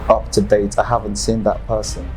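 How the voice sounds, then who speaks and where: A young man with a Nigerian accent, telling his personal story as an interview guest in an earnest, halting way. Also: lowish voice, completely flat delivery, darkish tone, soft background music, low-quality recording. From northern Nigeria. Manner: earnest, halting, with many ums. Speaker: guest, football player.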